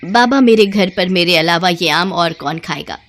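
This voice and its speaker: A woman's voice: on the low side, expressive, normal speed, fairly bright tone. A woman voicing an exasperated middle-aged mother-in-law, grumbling and long-suffering, in a cartoon story. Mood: complaining.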